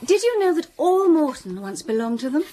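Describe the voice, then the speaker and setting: An actress playing a spoiled but good-humoured young heiress in a radio drama, chattering frankly. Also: female voice, sits high, sing-song, brisk, dark tone. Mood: gossipy. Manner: chattering, frank, lightly teasing.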